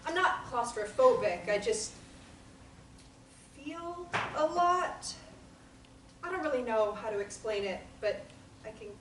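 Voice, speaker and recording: A woman's voice: a little high, expressive, slow, long pauses, noisy background. A woman reading the part of an anxious young woman in group therapy. She speaks in a hesitant, rambling, self-conscious way. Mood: uneasy. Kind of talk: table reading of a stage play. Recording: echoey room from a distant mic.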